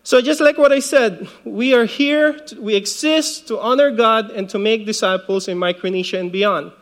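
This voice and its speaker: A man's voice: high voice, moderate pace, a bit bright. A man in his forties from the Philippines, preaching a sermon in a conversational way with light asides and laughs. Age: 40s. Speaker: pastor.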